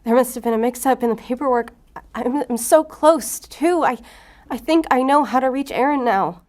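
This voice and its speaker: A woman's voice: sits high, normal speed, a little mellow, lightly acted. An actress playing an earnest, determined female agent in an audio drama, pleading and pressing. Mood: agitated. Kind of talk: audio fiction drama acting.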